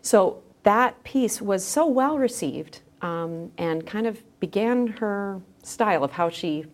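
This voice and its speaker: A middle-aged woman talks as an interview guest with a scholarly bent. Her voice sits at a medium pitch, and she explains things thoughtfully in a conversational way. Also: animated, slow. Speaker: guest, theology scholar with drama background. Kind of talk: thoughtful podcast interview talk.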